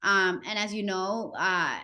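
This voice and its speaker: A woman's voice: mid-range voice, unhurried, pushed and bright. A woman in her thirties from Costa Rica and Nicaragua, a podcast guest telling her story with frequent fillers. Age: 30s. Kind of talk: interview conversation.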